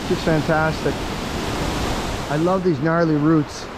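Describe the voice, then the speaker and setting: A man from Florida narrates his hike into a handheld camera, outdoors beside a river and waterfall. He talks in a casual, easily impressed way, full of exclamations. Loud rushing water fills the background. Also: on the high side, fairly even intonation. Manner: breaks into simple Spanish with locals. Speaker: vlogger filming a hike. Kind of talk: casual running commentary while hiking. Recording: rushing water noise behind.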